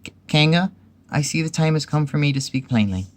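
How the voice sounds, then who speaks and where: Man putting on an indignant, squeaky little piglet. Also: lively intonation, fairly quick, natural voice. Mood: indignant, protesting. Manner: flustered, exclaiming, protesting. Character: small timid piglet, anxious, indignant, squeaky.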